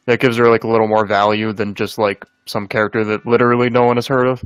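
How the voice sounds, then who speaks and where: A man making quick, matter-of-fact remarks in a casual podcast chat. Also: on the low side, flat delivery, smooth, no room sound.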